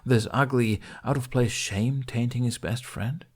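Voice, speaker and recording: A man reading fantasy fiction aloud as an audiobook narrator, in an expressive scripted storytelling style. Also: mid-range voice, measured pace, slightly rough.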